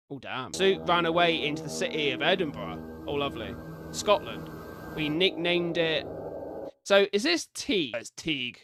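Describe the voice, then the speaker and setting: A British man doing informal live reaction commentary as a streamer, reading captions aloud and adding chatty asides. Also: on the high side. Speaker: streamer reacting to horror videos.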